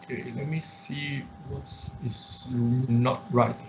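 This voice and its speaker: Man, online instructor, creaky voice, halting think-aloud software demo, dull lo-fi sound, faint noise. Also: mid-range voice, flat delivery. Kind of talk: live software demonstration for an online class. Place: indoors, online class at a computer. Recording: muffled online class audio with background noise.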